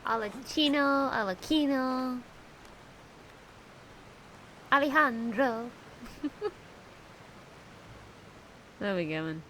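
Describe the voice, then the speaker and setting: A woman's voice: high-pitched, animated, slow, fairly bright tone, slight background noise, rough recording. A woman streamer chatting casually and laughing as she reacts to a game. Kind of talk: casual gameplay commentary.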